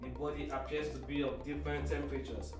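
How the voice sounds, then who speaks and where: A man playing a matter-of-fact military analyst giving a measured, explanatory briefing in a radio drama. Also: mid-range voice, little pitch movement, natural voice. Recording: very echoey, distant, faint music.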